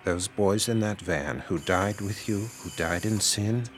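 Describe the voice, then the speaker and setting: An American actor playing a middle-aged, paternal, insinuating pastor in an audio drama, measured and preachy. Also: male voice, deep voice, soft background music. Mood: stern, measured. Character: paternal, insinuating small-town pastor with grown daughters.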